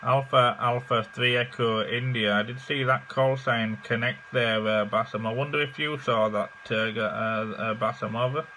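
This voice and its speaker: A man chats casually on amateur radio in a hesitant, halting way. His mid-range voice has a bright edge, with a faint hum behind it. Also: mid-range voice, fairly even intonation, low-quality recording. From England. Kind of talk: casual ham radio chat. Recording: faint noise and electrical hum.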